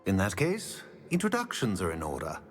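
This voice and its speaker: An actor playing a measured wizard professor who speaks with formal, deliberate courtesy in a video game. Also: male voice, sits low, wildly swooping pitch. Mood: grave, welcoming. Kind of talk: video game cutscene acting. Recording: long hall reverb, music underneath.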